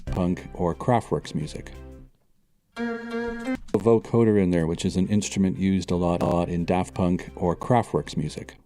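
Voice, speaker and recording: Deep, mellow-voiced male composer, calm and explanatory, soft music behind. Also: a little slow, pauses often. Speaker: composer guest. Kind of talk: interview answers, replayed in snippets. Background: music playing.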